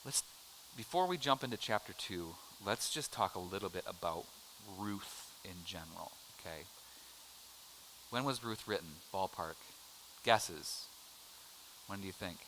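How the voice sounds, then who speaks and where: A man's voice: sits low, expressive, measured pace, fairly bright tone, slight background noise, poor audio. A middle-aged American man teaching a casual adult Bible class, chatty and joking as he quizzes the room. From United States. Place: church classroom.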